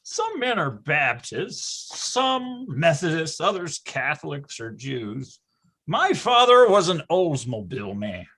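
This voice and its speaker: A performer plays a nostalgic storyteller narrating a staged comedy. The delivery is grandiose and wry, with big melodramatic swings in pitch. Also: big pitch swings, slow pace, a bit bright, slightly rough, heavily acted. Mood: mock-solemn. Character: melodramatic, nostalgic storyteller recalling boyhood schemes. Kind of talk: scripted comic narration.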